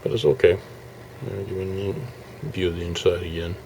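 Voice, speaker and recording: A man giving a casual, halting walkthrough as a hobbyist showing his restoration work, thinking aloud as he goes. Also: sits low, gravelly, darkish tone, slight background noise, rough recording. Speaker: hobbyist radio restorer presenting his project. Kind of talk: casual informal video walkthrough.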